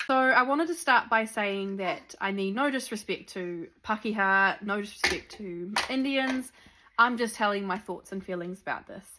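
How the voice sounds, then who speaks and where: A woman's voice: mid-range voice, moderate pace, noisy background. Chatty young New Zealand woman. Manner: chatty, lots of ums and likes. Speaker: vlogger. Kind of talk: sit-down chat to camera.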